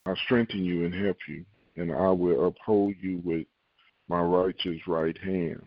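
A man preaching a sermon, reading scripture and acting out worried believers in a raised voice, repeating key lines slowly for emphasis. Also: sits low, fairly even intonation, no room sound, highs cut off. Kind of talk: podcast sermon.

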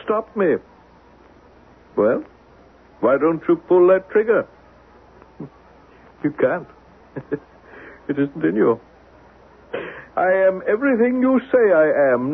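Gravelly, mocking alien schemer. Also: male voice, fairly high pitch, big pitch swings, takes it slowly, long pauses, narrow, tinny audio, full character voice. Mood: goading, mocking. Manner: mocking, goading, defiant. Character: taunting alien schemer from another planet, posing as human. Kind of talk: old-time radio drama acting. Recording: thin band-limited radio broadcast recording.

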